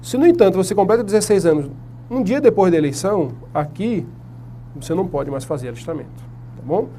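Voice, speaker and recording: A man's voice: high voice, expressive, fairly quick, dark tone, a little hiss or hum. A man with a Brazilian Portuguese accent giving an exam-prep lecture at a whiteboard. He asks the class questions and answers them himself. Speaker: teacher.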